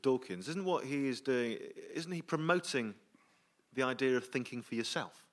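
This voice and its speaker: A man hosting a comic radio show before a live theatre audience, brisk and bemused, often laughing. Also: medium pitch, lively intonation. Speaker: host.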